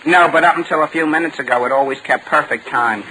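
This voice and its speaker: A man's voice: a little high, fast talker, close to an everyday voice. An actor playing an eager, anxious and stubborn American teenage boy in a 1940s radio comedy. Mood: pleading. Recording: hissy vintage 1940 radio broadcast.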